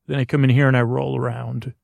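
A man's voice: mid-range voice, level tone, fast, gravelly, darkish tone, no echo. A man voicing a contented female pet dog, chatty and earnest, in a bedtime story. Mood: earnest, pleased.

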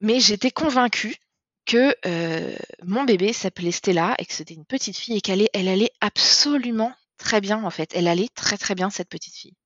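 A woman tells a personal story in a candid, halting way, often restarting her sentences. Her voice sits at an ordinary pitch for a woman. The recording is dry, with a slightly muffled, lo-fi tone. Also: fairly bright tone, dry recording. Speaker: guest. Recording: slightly dull, lo-fi sound.

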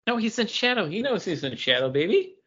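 A man's voice: high voice, very animated, fairly quick, sharp tone, husky, dull audio. A man co-hosting a podcast, chatting casually about comic books in a joking, self-deprecating way. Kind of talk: casual comic book review chat.

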